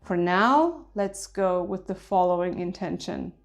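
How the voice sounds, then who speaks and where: A woman leading a guided meditation in an ASMR style, speaking gently and soothingly with deliberate phrasing. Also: fairly low pitch, expressive, muffled recording. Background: soft music with chimes.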